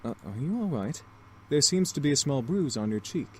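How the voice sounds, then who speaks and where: An actor playing a polite, serious young demon gentleman in a visual novel game, formal and attentive. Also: medium pitch, sing-song, slight background noise, close to an everyday voice. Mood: concerned. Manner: formal, courteous, measured. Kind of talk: visual novel character acting.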